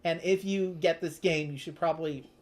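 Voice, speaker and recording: A board game host talks through the rules in a medium-pitched voice. The delivery is casual and chatty, full of informal, enthusiastic asides. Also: fairly even intonation, moderate pace, a little mellow.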